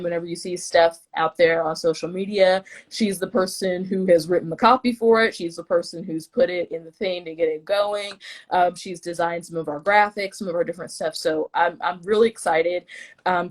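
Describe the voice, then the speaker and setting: Midwest woman hosting a livestream, lowish voice, chatty, trips over her words a lot. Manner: chatty, self-deprecating, stumbles over words. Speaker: host, vice president of the collective. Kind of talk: casual live-stream hosting.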